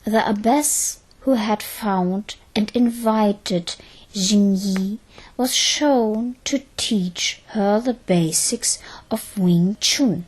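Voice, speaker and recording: A woman narrating a scripted audio drama in a measured, dramatic storytelling manner. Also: mid-range voice, a little mellow, poor audio.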